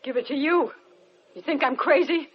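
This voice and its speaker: An actress in a 1940s-style radio drama playing a frightened, defiant woman accused of madness, shrill and melodramatic. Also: moderate pace, close to an everyday voice. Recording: dull vintage radio recording.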